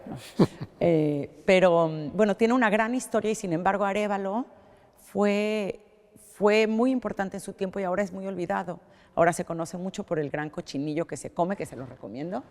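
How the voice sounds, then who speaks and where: Low-voiced Mexican woman in a reverberant hall. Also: a little mellow. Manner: thoughtful, with many restarts and fillers. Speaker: art collector. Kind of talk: interview answer.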